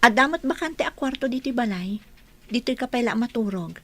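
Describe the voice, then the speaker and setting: A woman playing a gentle, practical bride-to-be in an Ilocano radio drama, speaking in an affectionate, fussing way. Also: a little high, expressive, fairly quick. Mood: concerned, caring.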